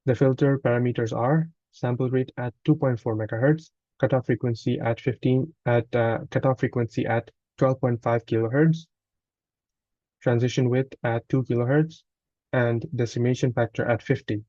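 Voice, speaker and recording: A man with a soft, mellow voice presents a scripted technical walkthrough like a student giving a report. His delivery is a little halting, with small stumbles and corrections. The recording is very dry and slightly dull. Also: medium pitch, steady tone, no room sound. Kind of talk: scripted technical narration, read aloud.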